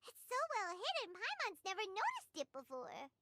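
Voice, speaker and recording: A woman voicing a chirpy, childlike little fairy companion in a video game, squeaky and excitable. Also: normal speed, heavy background noise, exaggerated voice. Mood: perky, curious. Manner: excitable, refers to itself in third person. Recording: playful game music behind voice.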